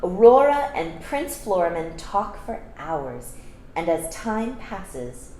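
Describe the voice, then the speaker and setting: A woman reading a children's story aloud expressively, pausing to explain things to young listeners. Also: on the low side, sing-song, dark tone. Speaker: storytime reader. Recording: echoey room with faint background noise.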